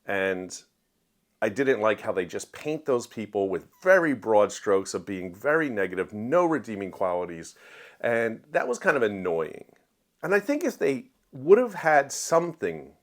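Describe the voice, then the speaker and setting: A man giving a casual, opinionated book review to viewers in a conversational, emphatic way. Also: fairly low pitch. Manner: conversational, acts out quoted dialogue in everyday voice.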